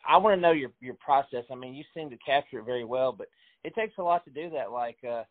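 Fast-talking male host on a tinny line. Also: medium pitch. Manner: rambling, eager, many fillers. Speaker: interview host. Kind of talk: casual interview chat. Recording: phone-like narrow sound.

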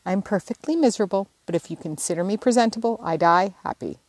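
A woman's voice: mid-range voice, fast talker, a little mellow, close to an everyday voice. A woman narrator plays a blunt, stubborn older sister who grumbles wryly in her own plain voice. The sound is close and dry, with no echo. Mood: resigned. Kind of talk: audiobook character reading.

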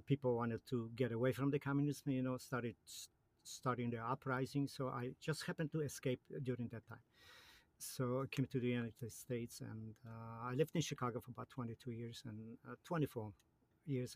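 A man from Poland in his fifties with a Polish accent, a guest in a casual interview who speaks in a halting way with many fillers and restarts. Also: mid-range voice, a little mellow, dry recording.

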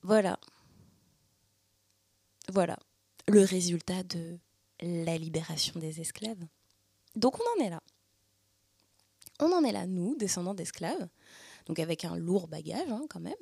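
This is a young woman from the Paris region speaking slowly and reflectively, often pausing to search for her words. Her lowish voice carries a gravelly fry, and it sounds dry and close with no room echo. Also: expressive, warm tone. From Paris region, France. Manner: thoughtful, searching, many fillers. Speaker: speaker sharing personal testimony. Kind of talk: reflective personal monologue.

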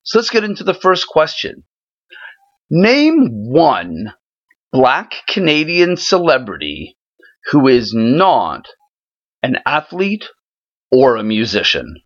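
A man hosting a podcast, reading a scripted intro and questions in a measured way. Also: medium pitch, huge pitch swings, a bit bright, no echo, lo-fi sound. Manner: measured, deliberate delivery. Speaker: podcast host.

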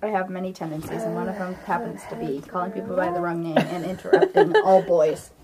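A woman's voice: on the low side, fairly even intonation, fast, a loud crowd. A woman chatting casually as a podcast host and game master, with a giggly, playful manner. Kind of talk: casual chat and tabletop game narrating.

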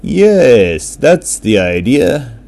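An eager, food-mad orca from a bedtime story, voiced by a man. The words come slowly and with heavy emphasis, lifted higher and louder like a cheerful challenge. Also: very animated, heavily acted. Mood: challenging. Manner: slow, emphatic, stretched words.

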